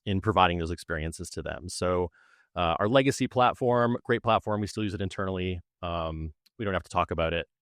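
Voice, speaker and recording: A man's voice: sits low, a little fast, fairly bright tone, no echo, clean studio sound. A man being interviewed as a guest, explaining how his support team works in a conversational way. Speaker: technical support manager, guest.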